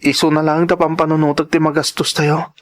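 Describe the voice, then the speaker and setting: A man's voice: on the high side, level tone, brisk, lightly acted. A man playing an earnest, humble young farmer's son in a radio drama, with a pleading manner. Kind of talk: Ilocano radio-drama acting.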